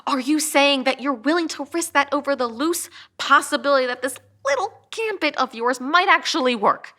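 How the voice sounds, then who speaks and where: A woman's voice: high-pitched, expressive, moderate pace, bright, forceful tone. A woman playing a hot-headed, sarcastic cop, incredulous and argumentative, in a dramatic read. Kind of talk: dramatic table read acting.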